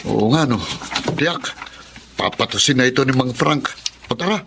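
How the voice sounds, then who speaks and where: A man's voice: mid-range voice, expressive, moderate pace. A man playing a scheming, indebted elder brother with a Filipino accent in a radio drama, urgent and conspiratorial. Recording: clearly noisy drama recording.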